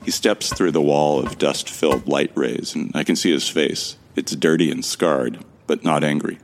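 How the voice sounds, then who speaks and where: A bewildered man with no memory , voiced by a male actor, tells his own story in a sci-fi audio drama. His voice is extremely deep and full of gravelly fry, with a wry, musing delivery. Faint noise sits behind it. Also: steady tone, moderate pace. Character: bewildered amnesiac man, wryly recounting his ordeal. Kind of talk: first-person scripted narration in a sci-fi audio drama.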